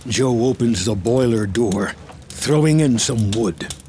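A man's voice: medium pitch, lively intonation, takes it slowly, a little mellow, a little hiss or hum. A man with an American accent reading scripted screenplay narration in the present tense.

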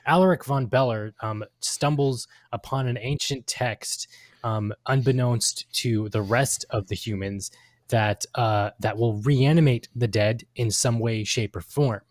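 A man co-hosting a podcast, discussing lore casually in a halting, hesitant way. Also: medium pitch, no room sound. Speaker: podcast co-host.

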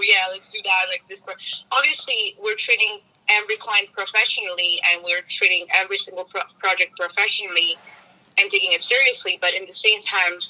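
A woman's voice: mid-range voice, pushed and bright, low-quality recording. A woman from Poland with a Polish accent, a music producer guest in a casual interview, earnest and often searching for words.

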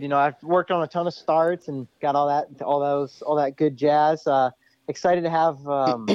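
A young man talks casually as a podcast guest, rambling through his stories with plenty of "dude" and "you know". His voice sits a little high, and the sound is dry and slightly muffled. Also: darkish tone, dry recording. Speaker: ATV racer guest.

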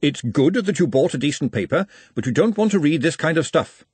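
A stern, brisk woman cook from a storybook, given a raised pitch by a man reading aloud. She speaks bossily, with a husky texture and plenty of movement in the voice. The recording is dry and close. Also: lively intonation, darkish tone, no echo. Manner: stern, bossy.

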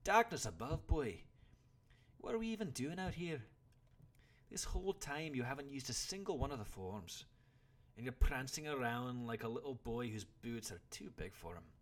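A man's voice: fairly low pitch, big pitch swings, normal speed, voice pushed up. A man voicing a stoic, blunt older warrior mentor who scolds his pupil in an audiobook story. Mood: exasperated.

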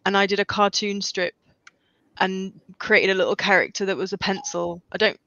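A woman's voice: mid-range voice, level tone, normal speed, creaky, a bit bright, dry recording. Young woman guest, wry and self-deprecating, dull lo-fi call audio. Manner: self-deprecating, laughing, many fillers. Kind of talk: casual video-call chat.

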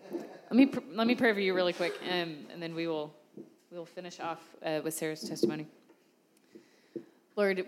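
Low-voiced woman leading prayer. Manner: many filler ums. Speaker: church leader hosting testimonies. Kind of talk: introductions and prayer.

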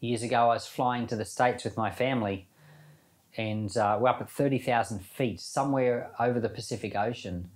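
A man's voice: medium pitch, moderate pace. A man giving an informal sermon as a Bible teacher, reading scripture and commenting in a conversational way with rhetorical questions.

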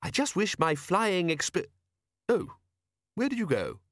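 A man voicing a gentle, absent-minded male inventor in a storybook, diplomatic and earnest, with a mild, apologetic, rambling manner. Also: high-pitched, huge pitch swings, slow, dry recording, studio quality, voice pushed up, heavily acted. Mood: earnest, enthusiastic.

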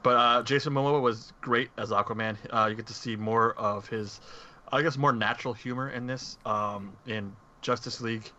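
A man's voice: mid-range voice, fairly even intonation, normal speed, sharp tone. A man co-hosting a casual podcast, chatting conversationally about movies. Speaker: podcast co-host. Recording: dull lo-fi audio, faint noise.

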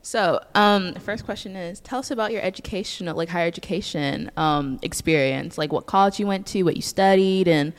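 Young woman cohost, kinda low voice, lots of likes and ums. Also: a little fast, few pauses, clean tone. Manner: chatty, filler-heavy, giggly. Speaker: co-host. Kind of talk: casual podcast chat.

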